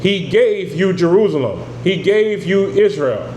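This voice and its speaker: Guy preaching thru bible verses, kinda high voice, echoey room w/ ac hum. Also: lively intonation, measured pace, darkish tone. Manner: reads verses then explains them in plain talk. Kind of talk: scripture teaching.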